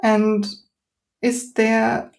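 A woman's voice: on the high side, completely flat delivery, extremely slow, gravelly, darkish tone. A woman host whose first language is German, asking questions in English and reflecting on her own experience. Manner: reflective, sharing personal experience. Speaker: podcast host. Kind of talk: interview questions.

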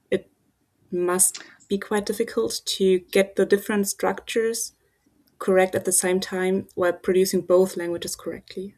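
A woman's voice: mid-range voice, darkish tone. A woman interviewer carefully asking a follow-up question, thinking aloud as she goes.

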